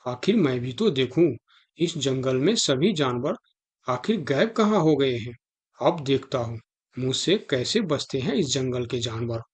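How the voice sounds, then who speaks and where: A man's voice: medium pitch, husky. A man voicing a menacing, hungry tiger villain. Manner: grumbling, menacing. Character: wicked, hungry, scheming tiger hunter. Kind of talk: Hindi animal cartoon villain dialogue.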